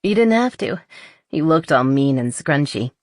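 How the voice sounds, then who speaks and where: A woman's voice: low-pitched, lively intonation, normal speed, no room sound, clean studio sound. A woman voicing a playful, chatty, impulsive young woman who is a little tipsy, in a romance audiobook.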